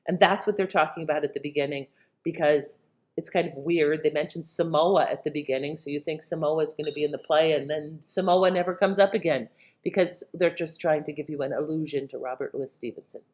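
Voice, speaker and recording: A woman's voice: deep voice, a little fast, a little mellow. A woman giving an informal, conversational explanation as a presenter. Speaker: presenter introducing an old radio play. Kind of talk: casual explanatory talk.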